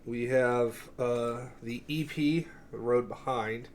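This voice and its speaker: A man's voice: medium pitch, steady tone, slow. Man, mid-range voice, casual collector show-and-tell, offhand, rambling, profane, self-interrupting, slightly noisy recording. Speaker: music collector presenting a cassette collection.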